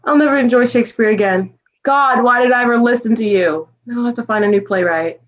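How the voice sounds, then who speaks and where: A woman's voice: on the high side, fast talker, muffled recording, natural voice. A woman playing a bewildered, skeptical man in a staged comedy reading, speaking bluntly and with incredulity. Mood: exasperated. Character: exasperated, skeptical young man, bewildered listener William.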